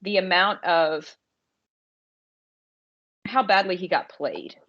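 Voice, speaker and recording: A woman's voice: lowish voice, takes it slowly. A woman podcast co-host chatting casually about a film, thinking aloud in informal, slangy language. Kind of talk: casual conversational discussion. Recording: slightly dull, lo-fi podcast audio.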